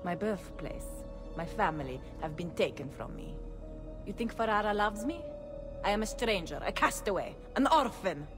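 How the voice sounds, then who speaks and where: An actress playing a bitter, seductive duchess with an Italian accent in a video game, haughty and theatrical. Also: female voice, medium pitch, very animated, moderate pace, over music, natural voice. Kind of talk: video game cutscene acting.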